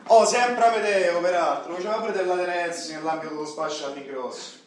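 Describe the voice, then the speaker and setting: A man performing a brash young Roman tough from the borgata, high-pitched and emphatic. He talks in boastful, confessional street slang full of rhetorical tags, heard from far off in a very echoey hall. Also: fairly quick, lightly acted. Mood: confessional, subdued. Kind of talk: theatrical monologue in street slang. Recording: echoey hall, distant mic, audience noise.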